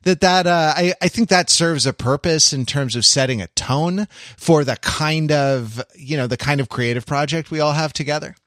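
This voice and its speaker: A man co-hosting a podcast in casual banter, talking in a halting, rambling way with wry asides. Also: fairly high pitch, a bit bright, no echo, clean studio sound. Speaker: podcast co-host.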